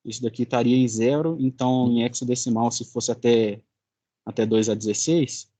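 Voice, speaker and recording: A young man with a Brazilian Portuguese accent, giving an informal student presentation in a halting, colloquial way. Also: mid-range voice, fairly even intonation, warm tone, no echo. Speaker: student presenting a final project.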